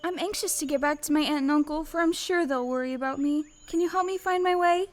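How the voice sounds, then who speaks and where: A woman's voice: high-pitched, quick pace, over music, lightly acted. A woman acting an earnest, polite little farm girl in a stage audio play. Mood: anxious.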